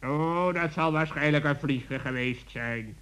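High, sharp, cheeky animal. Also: male voice, unhurried, smooth. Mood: cheeky, impatient. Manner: cheeky, impatient, teasing. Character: cheeky, impatient, mocking male forest animal. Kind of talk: children's radio play acting.

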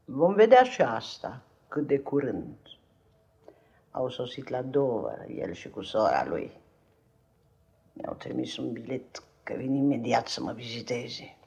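An actress playing a stern, blunt older great-aunt in a radio play, with a commanding, dryly sarcastic delivery. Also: female voice, a bass voice, sing-song, vocal fry, darkish tone, heavily acted. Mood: stern, guarded. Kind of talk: 1950s radio theatre acting. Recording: dull 1950s radio archive recording.

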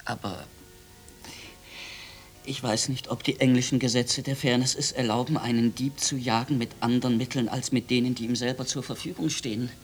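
An actor playing a scrupulous, hesitant gentleman's servant who speaks formally and deliberately in a radio play. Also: mid-range voice, steady tone, lightly acted. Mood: formal hesitation.

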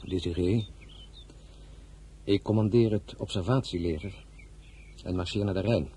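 An actor playing a French Revolutionary general and husband, authoritative and measured yet tender, in an audio drama. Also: male voice, deep voice, warm tone, slight background noise. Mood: tender, firm.

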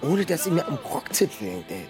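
A man's voice: fairly high pitch, sing-song, brisk. A male actor playing a moody, stoned teenage boy who gripes in slang in a radio drama. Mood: sullen, fed up. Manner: sullen, slangy griping. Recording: music behind the voice.

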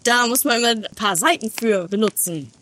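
A woman's voice: on the high side, a little fast, fairly bright tone, lightly acted. A woman playing a polite foreign guest in an amateur comedy radio play. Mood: politely complaining.

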